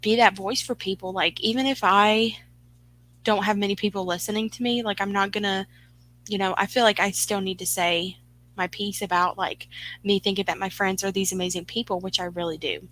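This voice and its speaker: A woman's voice: mid-range voice, fairly even intonation, a little fast, fairly bright tone, slight background noise, rough recording. A woman hosts a live stream and talks straight to her viewers. She rambles in a friendly, upbeat way, full of "like" and "um", and answers comments as she goes. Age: 20s. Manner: rambling, filler-heavy and upbeat. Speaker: livestream host.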